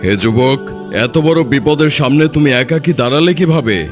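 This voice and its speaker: A man's voice: medium pitch, expressive, normal speed, music in the background, close to an everyday voice. A man playing an earnest king in a cartoon, speaking in a formal way. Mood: amazed questioning. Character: astonished, earnest king questioning a hero. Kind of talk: dramatic cartoon dialogue.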